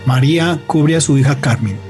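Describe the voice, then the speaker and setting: Un hombre narra una radionovela con guion, de manera medida, literaria y dramática. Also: male voice, mid-range voice, over loud music. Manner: measured, literary, dramatic. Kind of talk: scripted radio drama narration.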